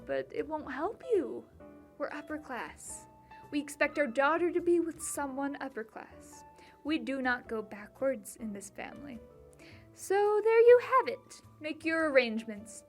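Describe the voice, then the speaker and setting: A woman playing a haughty middle-aged upper-class mother in a dramatic monologue, condescending and deliberate. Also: on the high side, lightly acted. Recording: music bed behind voice.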